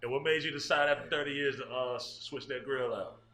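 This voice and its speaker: A man hosting a podcast. He speaks in an easygoing, laid-back way, and his voice is bright and forceful. The room adds noticeable echo. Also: medium pitch, steady tone, fairly quick. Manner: laid-back, frequent agreeing hums. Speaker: podcast host. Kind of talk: casual podcast interview.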